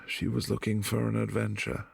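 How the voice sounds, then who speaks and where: A man playing a brooding, possessive vampire prince in a boyfriend roleplay, speaking low and hushed and sometimes dropping to a whisper. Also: monotone, moderate pace, creaky, breathy edge, dry recording, heavily acted. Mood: wistful.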